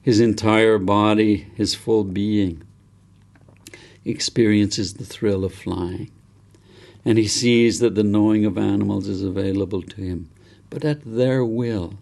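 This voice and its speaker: Male author-narrator for a story audiobook: low, gravelly with vocal fry, measured and gentle. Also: steady tone. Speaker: author reading own novel.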